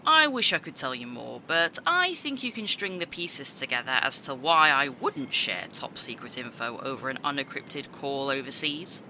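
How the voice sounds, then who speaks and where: Forceful, bright-voiced brash woman agent. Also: extremely low pitch, very animated, normal speed, few pauses, slight background noise, exaggerated voice, low-quality recording. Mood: guarded, friendly. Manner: blunt, challenging. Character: brash, sharp-tongued female agent, girlfriend on a call. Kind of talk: audio drama acting.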